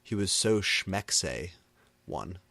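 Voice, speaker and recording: A man narrating comic fan fiction as a deadpan dramatic reading. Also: low voice, slow pace, vocal fry, no echo.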